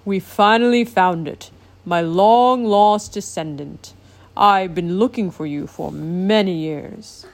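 A commanding sea king with a deep, booming delivery that fills every word, as a woman reading a storybook puts him on. He speaks slowly and with weight, a stern old ruler used to being obeyed. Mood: stately. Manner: slow and commanding.

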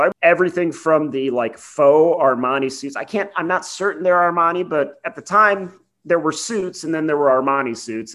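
A man co-hosting a podcast, giving sardonic, halting, profane asides in casual movie banter. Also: mid-range voice, fairly bright tone. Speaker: podcast co-host.

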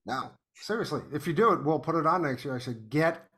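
A man's voice: fairly high pitch, lively intonation, fairly quick, fairly bright tone. American man telling stories as a guest. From New York City, United States. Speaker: podcast guest, playwright. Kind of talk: casual interview storytelling.

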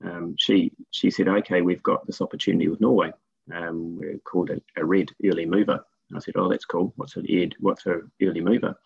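Man, New Zealand accent, husky, dry dull-sounding line, rambling storyteller. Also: deep voice, brisk, no room sound. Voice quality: relaxed, storytelling with many fillers. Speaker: guest. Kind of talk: casual interview talk.

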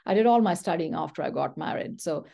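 A woman's voice: low-pitched, expressive, fast, creaky, mellow. A middle-aged woman from Pakistan, whose first language is Pashto, speaking candidly in an interview as a guest professor. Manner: candid and discursive, frequent restarts. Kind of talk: conversational interview answers. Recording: podcast interview.

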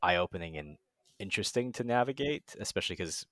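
A man from the US West Coast speaks in a low voice in a casual podcast discussion. He sounds reflective and a little tentative, recorded close and dry. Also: animated, a little fast, bright, forceful tone, dry recording. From West Coast of the United States. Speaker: podcast host.

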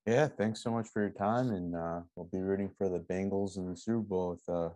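A man's voice: low voice, steady tone, a little fast, mellow, no echo. A man co-hosting a podcast in a casual, chatty style. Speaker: podcast co-host. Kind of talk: casual chat.

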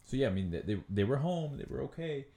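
A man's voice: medium pitch, big pitch swings, quick pace, mellow, echoey room. A man co-hosting a podcast, talking loosely and profanely, often trailing off and restarting. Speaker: podcast co-host. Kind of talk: casual chat.

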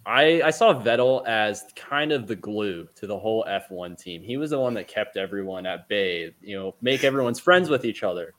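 Male podcast co-host, lowish voice, laid-back and slangy, reacting in casual sports chat. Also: expressive, normal speed, hardly any pauses, a bit bright.

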